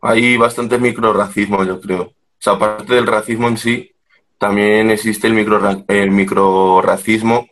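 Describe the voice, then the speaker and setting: A young man from Madrid on a video call, telling stories in a relaxed way and acting out what people say to him. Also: on the low side, unhurried. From Spain, Madrid. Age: young adult. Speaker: panelist. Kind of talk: casual chat on a video call.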